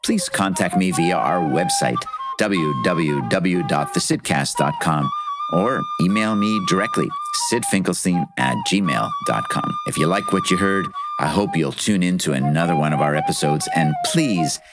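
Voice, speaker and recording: A man's voice: deep voice, very animated, moderate pace, over loud music. A man hosting a podcast, interviewing in an engaged, conversational way.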